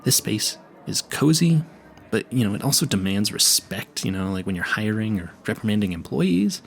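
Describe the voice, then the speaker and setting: Man playing a flustered, animated young vampire hunter. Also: lowish voice, moderate pace, gravelly. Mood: admiring, rambling. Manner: nervous, flustered, stammering, over-apologetic, polite. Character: awkward, smitten, clueless young male vampire hunter. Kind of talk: romantic audio roleplay addressed to the listener.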